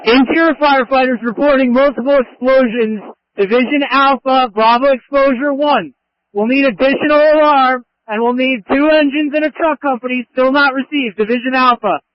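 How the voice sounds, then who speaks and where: Male fire chief, very high urgent voice, clipped commands, muffled scanner radio. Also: moderate pace, no room sound. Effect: two-way radio. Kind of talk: urgent fireground radio traffic.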